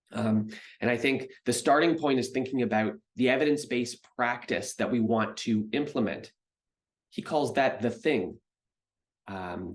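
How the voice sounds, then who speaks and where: Canadian man giving a relaxed recorded lecture. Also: mid-range voice. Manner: conversational with frequent ums and uhs. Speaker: researcher giving a recorded talk. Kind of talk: presentation lecture.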